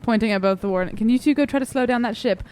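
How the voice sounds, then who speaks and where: A woman's voice: on the high side, rapid-fire, a little mellow, clean tone. A woman playing a tabletop game in casual, improvised talk, hesitantly rephrasing as she goes.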